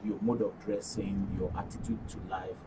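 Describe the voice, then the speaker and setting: A man's voice: deep voice, flat delivery, normal speed. A man with a Nigerian accent gives unscripted interview answers, explaining earnestly in long, winding sentences. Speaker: studio guest, actors' guild official. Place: radio and TV studio. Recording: distant microphone with clear background noise.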